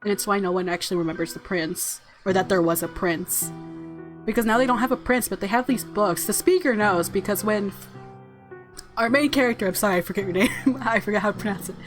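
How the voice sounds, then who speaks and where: A woman with a medium-pitched voice plays a visual novel as a streamer. She reads the story aloud and reacts casually, with faint game music behind her. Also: fairly quick.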